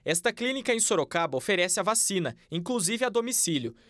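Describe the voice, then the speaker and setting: A man's voice: high voice, sing-song, fast, a bit bright, no echo, studio quality. A young man, narrating a scripted TV news report.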